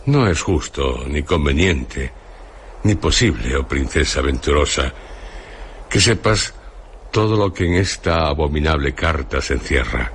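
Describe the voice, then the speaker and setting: An actor playing an ancient, venerable hermit sage near death, speaking solemn, grandiloquent Castilian Spanish in a radio drama. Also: male voice, animated, slight background noise, heavily acted. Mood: grave, stern. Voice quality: deep, husky. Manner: slow, grandiloquent, declamatory. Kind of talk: Spanish radio theatre acting.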